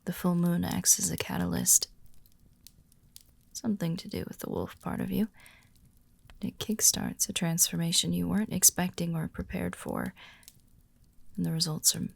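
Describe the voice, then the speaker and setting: A woman performs a calm female werewolf of the pack in an intimate audio roleplay. Her voice is lowish and very gravelly, often sinking to a breathy near whisper. She speaks slowly and gently, reassuring a newcomer with care. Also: dry recording, full character voice. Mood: quietly explaining. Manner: slow, gentle, reassuring, with deliberate pauses. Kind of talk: soft-spoken immersive ASMR-style audio roleplay.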